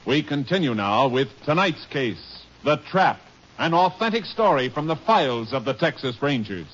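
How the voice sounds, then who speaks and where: A man announcing a radio drama in a measured, formal style. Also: on the high side, sing-song, dull audio. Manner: measured, declarative. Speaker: show announcer. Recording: old radio broadcast over music.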